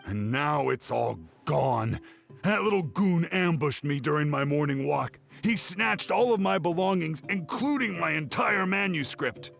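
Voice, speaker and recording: An actor playing a woeful, pleading old scholar with a dramatic, earnest manner in a video game. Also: male voice, fairly high pitch, animated, unhurried, creaky, fairly bright tone, soft background music, full character voice. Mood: aggrieved. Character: old scholar man, pleading and woeful, devoted to his research. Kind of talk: video game character acting.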